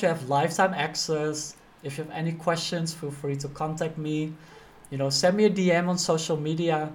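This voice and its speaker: Dutch accent guy, kinda high voice, earnest career coach talking straight to you in an online course. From Netherlands. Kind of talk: motivational online course lesson, informal direct address.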